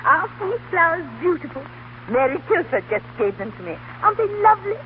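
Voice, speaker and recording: An actress playing a fussy, insinuating middle-aged aunt with gushing, theatrical delivery in a 1930s radio drama. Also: female voice, extremely high pitch, sing-song, fast. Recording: muffled, tinny 1930s radio broadcast, hissy.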